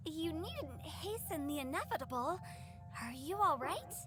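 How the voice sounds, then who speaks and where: A woman's voice: high-pitched, very animated, measured pace, music in the background. A woman playing a playful, theatrical young funeral director in a video game, with a teasing manner.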